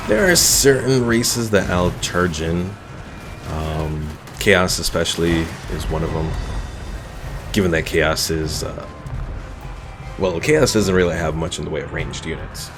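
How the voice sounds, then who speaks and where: Low gravelly man streaming a game. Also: unhurried. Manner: relaxed, rambling, reads viewer chat aloud. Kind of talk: casual gameplay commentary. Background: game music and battle sounds.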